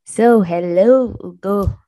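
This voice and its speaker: A woman's voice: medium pitch, slow, warm tone, no room sound, studio quality. A woman hosting a podcast, chatty and laughing readily with her co-host. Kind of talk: casual chat.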